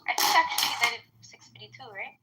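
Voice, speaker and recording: A woman in a casual online debate, quick and teasing. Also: on the high side, lively intonation, heavy background noise.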